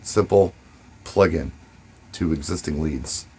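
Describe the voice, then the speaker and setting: A man speaking casually and emphatically, giving first-person advice and telling stories, with short pauses for effect and a husky voice. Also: rumbling low, darkish tone. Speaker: DJ and vlogger.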